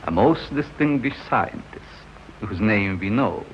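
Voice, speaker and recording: An actor speaking slowly and deliberately, respectful and formal, from an old film soundtrack. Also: male voice, fairly low pitch, lively intonation, lo-fi sound, a little hiss or hum.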